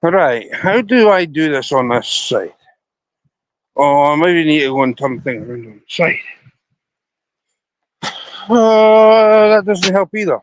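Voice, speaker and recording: A man's voice: on the high side, lively intonation, takes it slowly, pauses often, no echo, poor audio. A Scottish man chatting to viewers on a casual live stream outdoors by a river, rambling as he talks into his phone. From Scotland. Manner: rambling, chatty, talking to viewers while walking.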